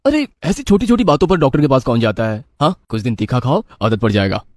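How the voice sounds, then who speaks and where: A man's voice: medium pitch, very animated, fast, no echo, close to an everyday voice. Man playing a brusque, dismissive husband, dry sound. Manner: brusque, bossy. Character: dismissive, demanding husband who loves spicy food.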